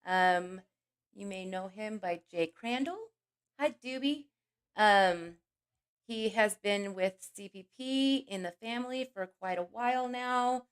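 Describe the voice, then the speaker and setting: A woman hosting a livestream with a scratchy, hoarse voice from a cold, chatting casually and greeting each viewer by name. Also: mid-range voice, slow. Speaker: livestream host.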